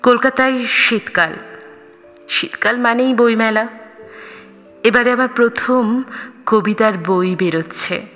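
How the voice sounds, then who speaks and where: Woman, audio story narrator, unhurried delivery, expressive, dramatic pauses, reverberant sound over soft music. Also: medium pitch. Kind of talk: scripted first-person story narration. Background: background music.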